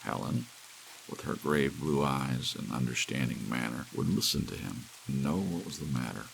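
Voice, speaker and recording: Very deep gravelly man narrating. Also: normal speed, poor audio. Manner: hushed ASMR storytelling with dramatic swells. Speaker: audiobook reader. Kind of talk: dramatic scripted audiobook narration. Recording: steady hiss of soft rain. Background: soft rain.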